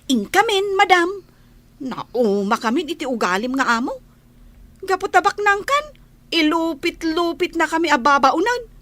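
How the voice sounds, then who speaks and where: A woman's voice: very high-pitched, big pitch swings, unhurried, a bit bright, no room sound, heavily acted. A woman playing an earnest, plain-spoken young housemaid in a Filipino radio drama. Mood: fed up, defiant. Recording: music bed under the dialogue.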